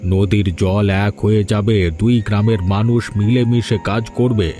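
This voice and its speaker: A man's voice: lowish voice, fairly even intonation, unhurried, mellow, close to an everyday voice. A man voicing a stern village holy man in a cartoon fairy tale, making solemn, prophetic pronouncements. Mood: stern, ominous. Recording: background music under dialogue.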